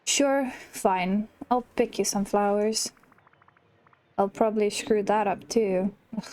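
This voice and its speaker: Sullen, misunderstood game shadow self, acted by a woman: lowered pitch, drawn-out, withdrawn. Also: slight background noise, lightly acted. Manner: flat, sulky, drawn-out. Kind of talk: reading video game dialogue in character.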